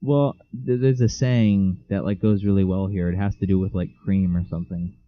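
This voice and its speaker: Teen male co-host, low voice, loose rambling chat. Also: fairly even intonation, normal speed. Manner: rambling, lots of "like" fillers. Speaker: podcast co-host. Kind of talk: casual chat. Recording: dull lo-fi mic.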